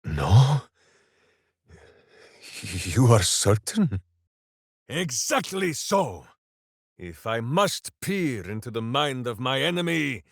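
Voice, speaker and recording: An actor playing a big, hearty, simple-hearted heroic warrior in a fantasy video game, earnest and grandiose in a theatrical way. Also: male voice, mid-range voice, gravelly, no echo, studio quality, full character voice. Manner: boisterous, earnest, grandiose, speaks of himself in third person.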